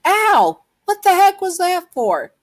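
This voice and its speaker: A woman's voice: mid-range voice, lively intonation, unhurried, pitched above the performer's own voice. A woman voicing a hostile, condescending teenage bully boy in an amateur story narration. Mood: pained, angry. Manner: taunting, condescending.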